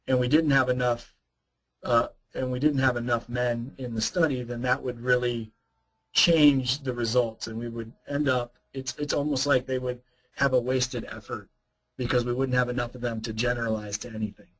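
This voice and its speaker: A hoarse man, a researcher, answering webinar questions in a measured, explanatory way. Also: medium pitch, steady tone, fairly bright tone, low-quality recording.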